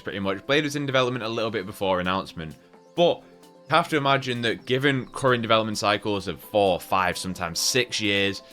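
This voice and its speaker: A man's voice: mid-range voice, pushed and bright. A man giving casual, chatty and opinionated video game commentary, speaking with emphasis. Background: background music.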